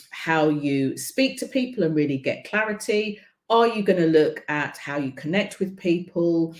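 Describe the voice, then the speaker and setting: Woman coach, deep plain voice, chatty with lots of ums, bit of room echo. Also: darkish tone. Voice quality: deep voice without a marked accent. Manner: conversational, many ums and you-knows. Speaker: visibility coach hosting a broadcast. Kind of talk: casual spoken coaching talk.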